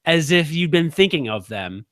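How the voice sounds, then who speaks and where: A man's voice: on the high side, big pitch swings, a bit bright, no echo. Dry-voiced man teasing his co-host. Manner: dry, teasing, quick-witted. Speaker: podcast co-host. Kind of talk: casual podcast banter.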